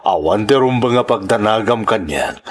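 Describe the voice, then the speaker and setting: A man's voice: lowish voice, animated, moderate pace. A man with a Filipino accent playing a suave, reassuring businessman in his fifties in a radio-style drama. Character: Sebastian, rich, suave businessman past fifty, courting.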